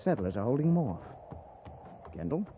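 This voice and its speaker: A man playing an overconfident young cavalry lieutenant in a 1950s radio western, brisk and commanding. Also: medium pitch, very animated, phone-quality sound, lightly acted. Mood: eager, bold. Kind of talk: 1950s radio drama acting. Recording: noisy old radio recording.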